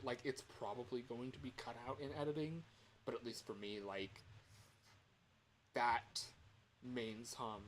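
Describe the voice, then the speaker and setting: A man chatting casually on a livestream in a rambling, self-deprecating way. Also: lowish voice. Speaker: livestreamer recording game voice lines. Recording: steady fan hum in background.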